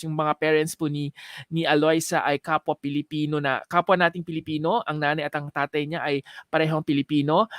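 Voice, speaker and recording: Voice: medium pitch, no echo. Interview host, dry close mic, chatty with lots of um and uh. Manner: switches between Tagalog and English, halting with fillers. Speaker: show host. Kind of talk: live online interview.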